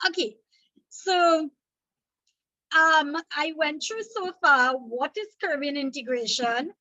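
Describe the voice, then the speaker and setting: Trinidadian woman teacher, high bright voice, patient revision lecture, dry muffled recording. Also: slow pace, dry recording. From Trinidad and Tobago. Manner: explanatory, repeats points, checks in with "okay?".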